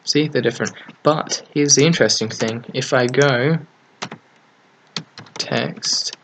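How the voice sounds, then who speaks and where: Man, medium pitch, slow pace, casual software tutorial, thinking aloud, faint background noise with key clicks. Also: creaky, poor audio. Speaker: tutorial host. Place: at a computer desk, screen recording.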